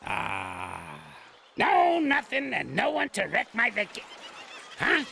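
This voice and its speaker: A man's voice: very high-pitched, wildly swooping pitch, slow pace, creaky, background noise, full character voice. An actor voicing a grumpy, insulting old male ape in a cartoon series, crotchety and scolding. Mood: exasperated. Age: older. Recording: cartoon soundtrack with loud music.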